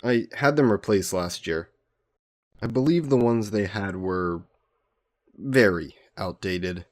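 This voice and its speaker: Low-voiced courtly vampire lord, played by a man. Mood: warm, flirtatious. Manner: formal, genteel, measured phrasing. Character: ancient aristocratic male vampire, courtly, protective, gently teasing. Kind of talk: scripted romantic audio roleplay.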